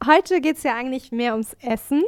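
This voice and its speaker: A woman hosting a podcast, reading a scripted introduction clearly and evenly. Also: high voice, moderate pace. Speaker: podcast host.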